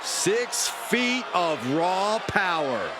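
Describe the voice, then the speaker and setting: A man playing an excitable pro wrestling play-by-play commentator in a video game, with dramatic, emphatic delivery. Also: high voice, very slow, full character voice. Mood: excited. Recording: loud arena crowd noise throughout. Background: roaring arena crowd.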